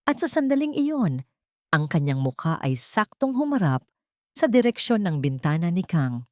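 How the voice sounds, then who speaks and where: Low-pitched woman narrating dramatic story. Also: a little mellow, no echo, studio quality.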